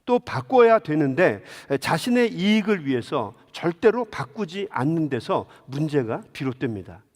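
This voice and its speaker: A male pastor delivers a sermon in a highly animated, fairly high voice. He tells stories and turns to his listeners with direct questions. His words ring out with the long reverb of a large church hall. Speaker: pastor preaching to a congregation. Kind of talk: Sunday sermon with storytelling and rhetorical questions. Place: large indoor church sanctuary.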